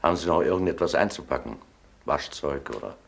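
An actor playing a methodical police inspector in a crime radio drama, measured, formal and insistent. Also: male voice, rumbling low, lively intonation, vocal fry, heavily acted. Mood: stern, formal. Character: stern, methodical male police detective inspector.

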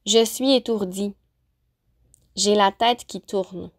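A woman teacher narrating a lesson, pronouncing example phrases slowly and carefully. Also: mid-range voice. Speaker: French-as-a-second-language teacher. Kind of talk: clear, deliberate vocabulary lesson narration.

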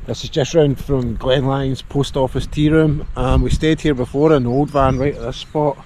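Outdoor camera audio from a Scottish man in his thirties, cheerful and chatty with easy laughs. He talks to a handheld camera at arm's length in an open glen, and clear background noise runs under his voice. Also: mid-range voice, fairly even intonation, moderate pace, a little mellow. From Scotland. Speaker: vlogger. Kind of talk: casual vlog narration. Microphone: action camera at arm's length.

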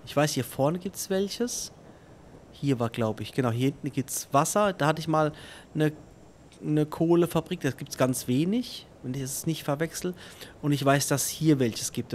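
A man's voice: mid-range voice, darkish tone, a little hiss or hum. A man giving casual let's play commentary, thinking aloud and chatting to himself and his viewers.

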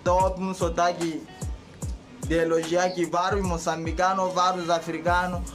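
A young Mozambican man vlogs to his camera in a fairly high voice, animated and expressive as he talks things through. Music runs clearly under his speech the whole way, close to the mic in a small indoor spot. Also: unhurried. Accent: Mozambican Portuguese. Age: young adult. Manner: animated, gesturing with both hands. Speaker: YouTuber. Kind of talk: casual talk to camera. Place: indoors by a bare concrete wall.

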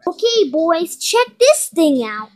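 A woman's voice: very high voice, sing-song, slow pace, a little mellow, heavily acted. A young female performer playing a bossy, scolding girl in an amateur skit, with a commanding, childlike manner. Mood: showing off. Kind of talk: improvised amateur skit with character voices.

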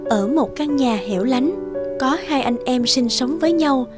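A woman's voice: high-pitched, expressive, unhurried, over music. A woman narrator reading a scripted fairy tale for a cartoon.

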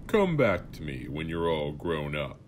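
A man's voice: a bass voice, sing-song, measured pace, pitched below the performer's own voice, exaggerated voice. A man voicing a haughty, imperious guardian of the underworld gates in a condescending manner, in a fantasy character piece. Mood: contemptuous. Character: haughty, contemptuous male guardian of the Netherrealm gates.

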